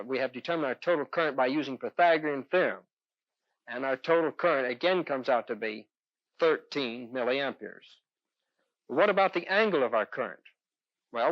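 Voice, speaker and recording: A man's voice: medium pitch, fairly bright tone, no room sound. A man lecturing as an electronics instructor in a 1970s instructional film, explaining methodically step by step. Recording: dull, dry 1970s film soundtrack.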